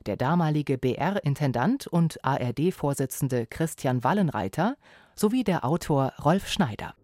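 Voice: medium pitch, animated, a little slow, darkish tone, no room sound. Dry, close announcer voice. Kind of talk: scripted introduction.

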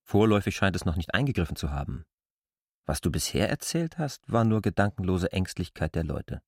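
A sceptical male outsider with a deep, rough-edged voice. He sounds dry and dismissive in literary radio drama dialogue, recorded close without room sound. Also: very animated, brisk, no room sound, exaggerated voice.

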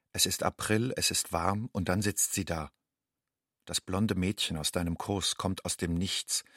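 A man playing a young undocumented student, an anxious outsider, in a measured, introspective radio drama monologue. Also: sits low, a bit bright, husky, dry recording, studio quality, full character voice. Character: young illegal immigrant student, anxious, inwardly longing outsider.

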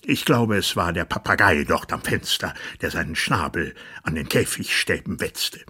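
A man voicing a fawning courtier who smooths things over for the king. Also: deep voice, expressive, normal speed, sharp tone, full character voice. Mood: placating.

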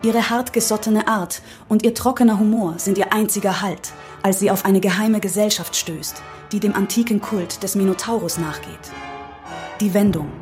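A woman's voice: medium pitch, normal speed, darkish tone, faint music behind. A woman reading scripted crime-story material in a dramatic narration style.

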